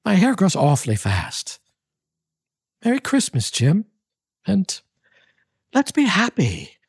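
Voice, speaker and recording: An anxious, loving young wife played by a man pleads earnestly in a high-lifted voice. The delivery is theatrical storybook drama, slightly husky, recorded dry and close. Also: wildly swooping pitch, takes it slowly, no echo, exaggerated voice. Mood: pleading.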